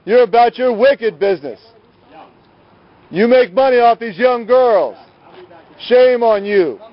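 A man preaching on the street in a loud, scolding, combative manner, confronting people outdoors. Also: extremely high pitch, animated, takes it slowly. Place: outdoors at night on a city sidewalk beside a road. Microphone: handheld camera. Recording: muffled lo-fi phone camera audio.

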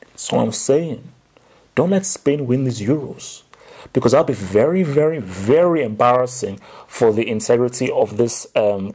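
A man's voice: medium pitch, darkish tone, husky. A young man giving a blunt, opinionated football match reaction from a desk in a small room. He talks emphatically, gestures a lot, and repeats his points. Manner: gestures animatedly while talking. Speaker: football pundit vlogger.

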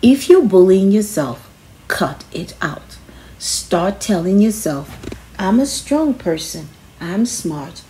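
Female storybook reader, mellow and unhurried, instructive with animated intonation. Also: mid-range voice, a little hiss or hum, rough recording. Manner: instructive, emphatic on key phrases. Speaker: children's book reader. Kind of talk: read-aloud of a children's self-help book.

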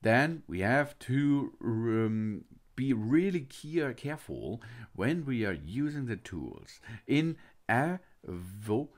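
A man's voice: medium pitch, sing-song, slow. A man narrating an unboxing and walkthrough of a tool kit, with non-native phrasing and hesitant fillers. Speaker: product reviewer.

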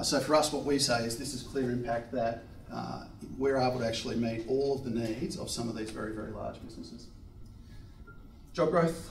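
A man presenting slides in a seminar room with a handheld mic, brisk and upbeat. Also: mid-range voice, creaky, roomy sound, far from the mic, slight background noise, rough recording.

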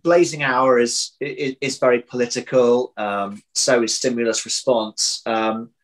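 A man's voice: mid-range voice, steady tone, a little slow, low-quality recording. A man in his thirties chatting casually as an interview guest on a video call, hesitant, often restarting and repeating words as he thinks aloud. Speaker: interview guest, audio drama writer. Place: home room, indoors. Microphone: computer video call.

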